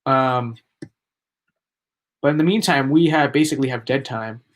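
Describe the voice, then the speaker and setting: A young man commenting on a live stream, talking in a rambling, emphatic way that repeats itself, his voice starting to go hoarse. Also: medium pitch, moderate pace, slight background noise. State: losing his voice. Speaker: livestream political commentator.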